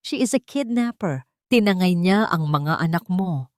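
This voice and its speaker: An elderly, wealthy matriarch acted out by a woman narrator for an audiobook drama. She is furious and imperious, handing out accusations and commands in a fairly low female voice, recorded dry and close. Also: lively intonation, unhurried, no room sound. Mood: furious, commanding. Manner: imperious, shouted accusations and orders.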